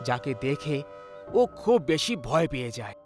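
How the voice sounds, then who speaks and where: A man's voice: mid-range voice, big pitch swings, moderate pace. A man narrating a scripted horror story in a dramatic storytelling style. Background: background music.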